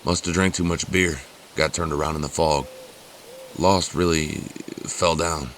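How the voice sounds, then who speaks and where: An actor playing a big burly fraternity man in an audio horror drama, terse and gruffly calm. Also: extremely low pitch, unhurried, slight background noise. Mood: groggy, drunk.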